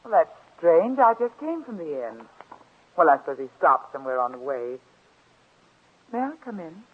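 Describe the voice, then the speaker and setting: A woman's voice: low voice, sing-song, moderate pace, breathy edge, full character voice. An actress playing a poised, slyly probing young woman visitor, talking in a polite, genteel, conversational way in 1940s radio drama style. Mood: friendly, probing. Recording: tinny, muffled old-time radio recording.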